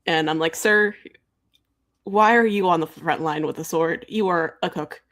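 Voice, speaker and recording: Low-pitched woman in casual book chat. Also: moderate pace, fairly bright tone. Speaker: book readalong co-host. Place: online livestream.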